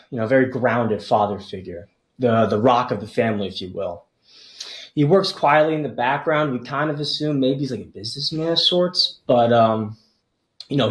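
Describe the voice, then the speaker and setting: A man, an actor, giving casual interview answers in a roomy, echoing recording. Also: mid-range voice.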